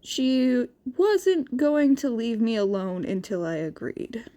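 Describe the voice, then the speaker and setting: An aloof teenage boy in an audio story, played by a woman, speaking in a lowered, low-key voice. He is curt and deadpan, with a dry, teasing edge. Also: slow. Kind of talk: character dialogue in a fan fiction reading.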